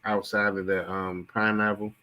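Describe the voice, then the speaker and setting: A man's voice: fairly low pitch, little pitch movement, normal speed, lo-fi sound. A man from the DC and Maryland area asking curious questions on a group video call. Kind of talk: casual group chat on a call.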